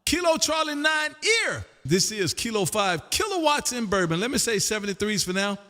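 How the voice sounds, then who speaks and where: A man's voice: high-pitched, very animated, normal speed. Exuberant man in a reverberant radio room. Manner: loud, exuberant, folksy, repeats himself. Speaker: amateur radio operator hosting a live stream. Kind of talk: casual ham radio chat. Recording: roomy, long reverb.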